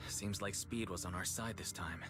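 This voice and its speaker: An actor playing a calm, thoughtful young investigator in video game dialogue. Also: male voice, low-pitched, moderate pace, over music, lightly acted.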